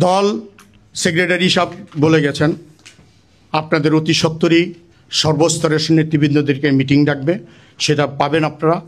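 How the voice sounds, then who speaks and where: A male party activist with a Bangladeshi accent addresses a meeting. His voice is high as he makes a political speech. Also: level tone, normal speed, pauses often.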